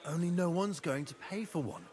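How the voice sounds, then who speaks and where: An actor playing a proud young medieval nobleman in a video game, brisk, direct and confident. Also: fairly high pitch, a little mellow, natural voice. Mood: conspiratorial, friendly. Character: proud young medieval nobleman, younger brother, hot-headed but loyal. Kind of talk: cinematic video game dialogue.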